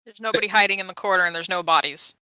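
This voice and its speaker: Female tabletop player, bright forceful tone, dry close recording, casual wry explanations. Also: mid-range voice, racing, clean tone, dry recording, poor audio. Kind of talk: casual in-game chat.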